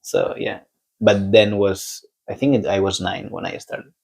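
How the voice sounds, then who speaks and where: A young man from Spain with a Spanish accent, a podcast guest talking in a relaxed, halting way and laughing often. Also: on the low side, vocal fry. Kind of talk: casual conversation.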